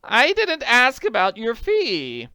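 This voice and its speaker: A woman voicing an agitated, elegant middle-aged woman in a storybook, pleading in a breathless, drawn-out way. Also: a little high, huge pitch swings, pushed and bright, clean tone, no room sound, clean studio sound, voice pushed up, full character voice. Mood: distressed. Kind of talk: audiobook character voice.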